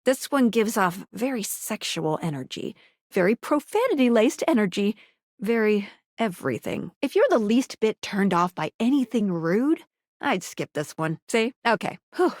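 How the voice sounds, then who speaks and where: A woman voicing an excitable, chirpy guide in a video game, exclaiming and warning in a bubbly, dramatic way. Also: mid-range voice, normal speed, no room sound, clean studio sound. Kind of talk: video game character acting.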